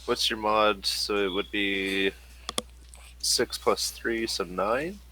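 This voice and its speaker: A man acting as game master in a tabletop role-playing game, with a halting, deliberate delivery. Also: on the low side, steady tone, sharp tone, a little hiss or hum, rough recording.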